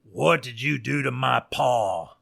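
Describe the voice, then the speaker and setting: Storybook western wolf gunslinger, male reader: young, mean, forceful, menacing American drawl. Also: medium pitch, unhurried, gravelly. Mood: bitter, accusing. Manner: menacing old-west drawl.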